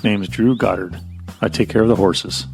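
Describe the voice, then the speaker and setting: An actor playing an easygoing, solitary horse trainer in an audio drama, with a deep, gravelly voice. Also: male voice, moderate pace, darkish tone, soft background music. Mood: friendly, laid-back.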